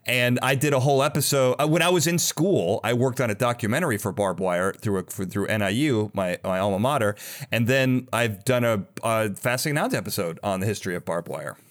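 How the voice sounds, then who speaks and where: A man's voice: on the low side, brisk. A man hosting a casual interview podcast, chatty and enthusiastic in his delivery.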